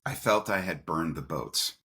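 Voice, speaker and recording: A man's voice: extremely low pitch, lively intonation, unhurried, pushed and bright. A man telling stories casually as an interview guest, informal and prone to acting out bits of dialogue. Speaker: interview guest, company founder. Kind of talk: casual conversational storytelling.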